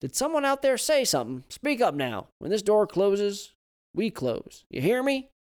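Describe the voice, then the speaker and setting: A man voicing a grizzled old man who is gruff but kindly, with a gravelly voice. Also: high-pitched, big pitch swings, moderate pace, pitched above the performer's own voice.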